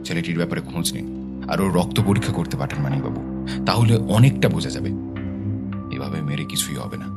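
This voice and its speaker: A man's voice: low-pitched, animated, brisk, creaky, loud music behind the voice. A man acting a troubled, earnest male private detective in an audio story, speaking with urgency. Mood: grave, firm.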